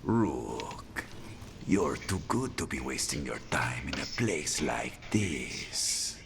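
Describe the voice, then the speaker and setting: A man's voice: extremely low pitch, sing-song, takes it slowly, gravelly, noisy background, full character voice. A man playing a cynical assassin from a crime family in a video game, with dramatic, pointed delivery. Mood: needling, dismissive. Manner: dramatic, pointed, needling questions. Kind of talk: video game character acting.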